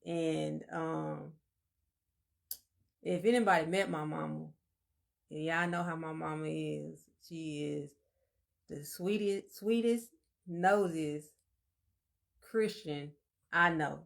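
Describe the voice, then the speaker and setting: A soft-spoken woman, co-hosting a casual live-stream chat and telling her story in a meandering, reflective way. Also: low-pitched, fairly even intonation, slow, vocal fry, long pauses.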